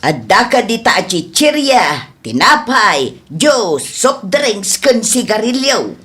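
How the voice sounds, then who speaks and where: A woman's voice: medium pitch, sing-song, takes it slowly, a bit bright. A woman playing a stern older storekeeper in a comedy radio drama, matter-of-fact and insistent.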